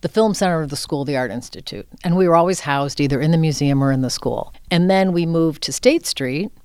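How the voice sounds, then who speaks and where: A woman's voice: low voice, a little fast, a little mellow, clean tone, dry recording. A middle-aged woman giving conversational interview answers as an arts director, reflective and candid. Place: inside a cinema theater space.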